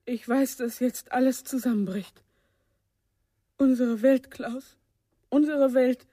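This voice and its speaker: High-voiced, slow, resigned woman. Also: fairly even intonation, warm tone, no room sound, studio quality. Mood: numb, resigned. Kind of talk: naturalistic radio drama acting.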